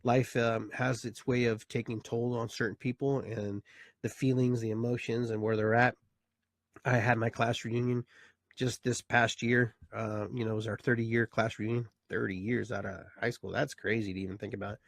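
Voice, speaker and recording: A man's voice: mid-range voice, level tone, moderate pace, gravelly, dry recording. A man in his fifties hosting his own show, talking casually and reflectively, full of fillers and restarts. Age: 50s. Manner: rambling, reflective, with many fillers. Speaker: host and therapist. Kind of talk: casual live talk show monologue.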